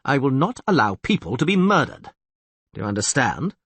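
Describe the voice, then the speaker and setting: A man voicing a pompous middle-aged male castellan who blusters loudly, his pitch swooping high. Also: very animated, a little fast, slightly rough, no echo, exaggerated voice. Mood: stern. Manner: loud, theatrical, swoops high.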